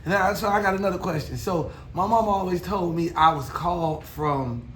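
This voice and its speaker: Young dude joking with his pops, high and bright, echoey room. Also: moderate pace. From Miami. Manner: playful, laughing, teasing questions. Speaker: son interviewing his father. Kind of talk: casual chat. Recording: echoey room, faint noise.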